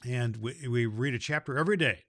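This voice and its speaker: A man playing a jolly, big-hearted Santa Claus who hosts a children's holiday story podcast in a folksy, halting, rambling way. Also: medium pitch, fairly bright tone, no room sound, studio quality, natural voice. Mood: cheerful, folksy.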